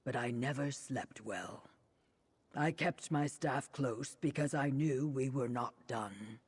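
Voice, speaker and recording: A man voicing a wise, steadfast reptilian mage in a video game, speaking in a measured, poetic way with a husky voice. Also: medium pitch. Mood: solemn, reflective. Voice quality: husky, breathy rasp. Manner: measured, poetic, dryly warm. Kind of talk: video game character acting. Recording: music behind the voice.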